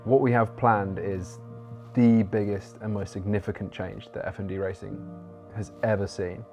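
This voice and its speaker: Team owner guy, slow measured announcement, low flat voice. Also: faint music behind. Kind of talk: documentary interview.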